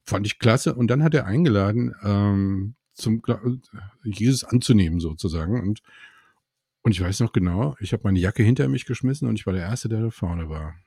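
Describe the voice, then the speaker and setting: A man's voice: lowish voice, lively intonation, moderate pace, vocal fry, mellow, husky, no room sound, clean studio sound. A middle-aged man telling his faith story in a candid, wry, conversational way.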